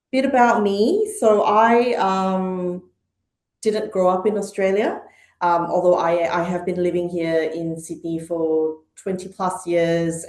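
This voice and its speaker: A woman's voice: on the low side, a little mellow. A middle-aged woman from Malaysia and Hong Kong, a podcast guest speaking thoughtfully with frequent ums and uhs. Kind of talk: conversational interview. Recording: echoey room.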